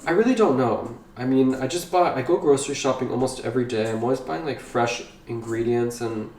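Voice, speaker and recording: A man chatting casually while baking at home, rambling and easily excited. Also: mid-range voice, a little fast, slight background noise. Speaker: vlogger. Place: home kitchen. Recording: echoey kitchen, slightly distant mic.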